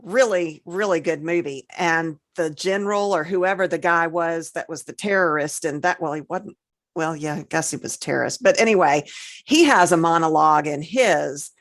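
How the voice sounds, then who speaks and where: Female co-host, deep-pitched for a woman, conversational and emphatic, close dry recording. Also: moderate pace, no echo. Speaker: podcast co-host. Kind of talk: casual chat, recounting film examples.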